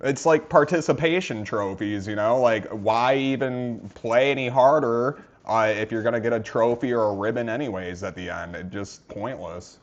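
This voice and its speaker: A male commentator breaks in over a debate clip with sarcastic, offhand remarks in a casual tone. His voice is somewhat low and comes through slightly muffled, lo-fi audio. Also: moderate pace, hardly any pauses. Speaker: reaction commentator.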